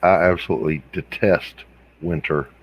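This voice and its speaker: A man's voice: low-pitched, steady tone, moderate pace, breathy edge, highs cut off. A man from South Carolina with an American accent, chatting casually in a wry, joking way. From Hilton Head Island, South Carolina. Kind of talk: casual chat.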